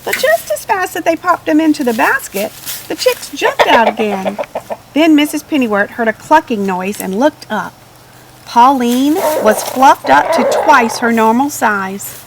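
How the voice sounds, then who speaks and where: A woman's voice: high-pitched, lively intonation, measured pace, vocal fry, noisy background. A woman narrating a children's storybook expressively. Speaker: storybook reader.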